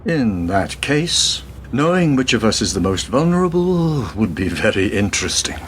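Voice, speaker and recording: A man's voice: on the low side, very animated, moderate pace, slight background noise. An actor in a radio play, playing a defensive, money-troubled double agent and family man with an aggrieved, emphatic way of talking. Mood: wary, terse.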